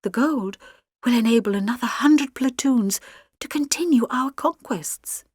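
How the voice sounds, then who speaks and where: An alien-possessed teenage girl, as acted by a woman reading an audiobook, confides her plans of conquest with quiet menace. The voice sits slightly high and is recorded very dry and close. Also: a little slow, husky, no echo. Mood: smug.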